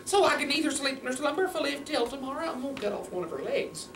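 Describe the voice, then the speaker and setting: A sleepy, cruel old man from a folk tale, played by a woman grumbles in a slow drawl. The pitch is raised, and the recording is roomy with faint noise. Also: lively intonation, a bit bright. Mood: grumbling, threatening. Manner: slow, drawn-out complaining. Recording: roomy, distant, noisy live recording.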